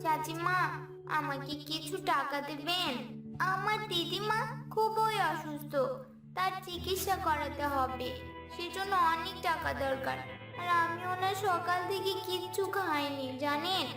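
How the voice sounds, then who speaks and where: Woman as little village girl, high voice, innocent, pleading, cartoon fairy tale, music behind. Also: unhurried. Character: earnest, anxious poor little village girl. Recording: roomy, distant, loud music bed.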